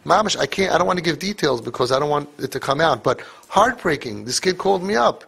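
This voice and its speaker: Gravelly man giving an impassioned talk. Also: a little high, animated, fast talker, a bit bright. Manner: emphatic, repeats phrases, mixes in Yiddish and Hebrew words. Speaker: speaker addressing parents.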